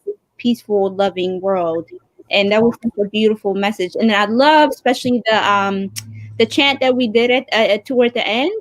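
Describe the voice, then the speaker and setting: A woman's voice: medium pitch, normal speed, dry recording. A woman co-hosting a live-streamed author reading, praising the story and asking chatty interview questions. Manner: warm praise, chatty, with fillers. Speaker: co-host of an online author readalong.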